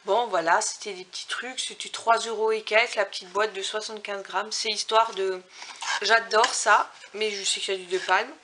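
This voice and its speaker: A woman's voice: medium pitch, fairly even intonation, normal speed, sharp tone, slight background noise. A young woman from Alsace chatting casually to camera, reviewing food products with lots of fillers and self-corrections. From Alsace, France. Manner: reads out ingredient lists. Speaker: vlogger reviewing finished products.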